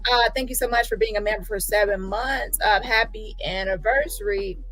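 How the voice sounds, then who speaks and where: A woman's voice: medium pitch, normal speed, a bit bright, low-quality recording. A woman host giving casual reaction commentary, blunt and sassy, repeating phrases for emphasis. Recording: music playing behind the voice.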